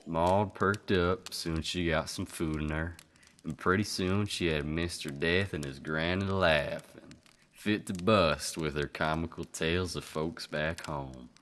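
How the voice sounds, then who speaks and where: A man's voice: low-pitched, fairly even intonation, a little slow, fairly bright tone. A man playing a homespun Old West storyteller with an American country accent, narrating a folktale in colloquial style for radio drama. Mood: easygoing. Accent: American Southern or Western. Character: folksy Old West yarn-spinning storyteller.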